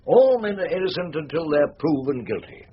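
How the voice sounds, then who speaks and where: A pompous advocate in a radio drama. His voice is husky and very animated, and he pleads in formal, declamatory style with slight fumbles. The recording is muffled. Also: fairly high pitch, a little fast. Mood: pompous, earnest. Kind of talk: British radio drama acting.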